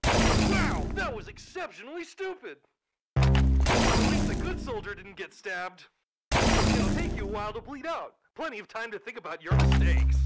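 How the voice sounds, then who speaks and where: A callous, sarcastic war criminal acted by a man mocks the fallen in a game voice pack. His pitch is high and swings wildly with cruel glee. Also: wildly swooping pitch, unhurried, loud music behind the voice. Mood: gleeful mockery. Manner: sarcastic, mocking. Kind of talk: video game announcer voice pack.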